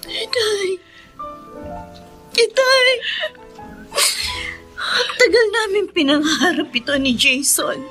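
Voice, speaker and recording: Very high-pitched tearful daughter. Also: female voice, sing-song, bright, forceful tone, faint music behind, exaggerated voice. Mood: tearful joy. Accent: Filipino. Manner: tearful, drawn-out. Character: emotional young daughter, an elder sister. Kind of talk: Filipino radio drama acting.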